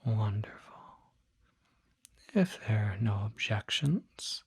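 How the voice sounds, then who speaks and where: A performer voicing a calm, courteous demon doctor in an ASMR roleplay, whispering softly with a measured, reassuring, formal bedside manner. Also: medium pitch, dry recording, heavily acted. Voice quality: breathy, whispery.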